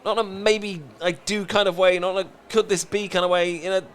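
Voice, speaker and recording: A man's voice: high voice, fairly even intonation, brisk, fairly bright tone. A man with a British accent playing a flustered, lovestruck best friend in a romantic comedy audio roleplay, stammering and earnest. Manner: stammering, rambling, earnest confession.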